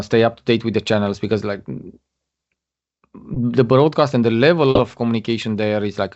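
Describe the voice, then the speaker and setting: Halting man presenting over a muffled call. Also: on the low side, darkish tone. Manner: halting, many fillers and restarts. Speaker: guest speaker. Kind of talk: webinar presentation. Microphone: video call. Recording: muffled lo-fi online call audio.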